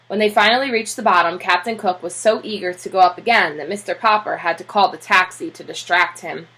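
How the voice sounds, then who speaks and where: A woman narrates a children's storybook expressively. Her voice is bright and sharp-edged, at a middle pitch for a woman, with some huskiness in it. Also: low-quality recording. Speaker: teacher reading aloud.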